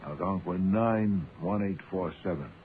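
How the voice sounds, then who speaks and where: A man's voice: sits low, measured pace. A man playing an imperious, proud genius detective in a radio drama, with pompous, precise, formal diction. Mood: haughty, precise. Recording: muffled, noisy phone-like old radio sound.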